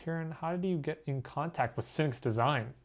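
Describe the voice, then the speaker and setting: A young man born in Korea, an artist chatting casually with viewers while he draws on a live stream, self-deprecating and quick to laugh. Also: fairly high pitch. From born in Korea, raised abroad. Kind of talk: casual live-stream chat reading comments.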